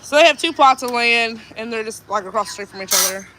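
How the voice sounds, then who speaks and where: A woman's voice: fairly high pitch, quick pace, background noise. A woman with a stuffed-up nose, chatting and reading viewer comments aloud on a homesteading live stream. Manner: chatty, reads comments aloud, laughs easily. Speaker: homesteading vlogger.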